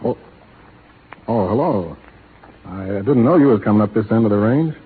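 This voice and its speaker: A man's voice: lowish voice, animated, moderate pace, lightly acted. Man doing a shifty cowboy, offhand rural drawl, tinny old radio, faint hiss. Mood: caught out. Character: shifty cowboy caught with stray cattle.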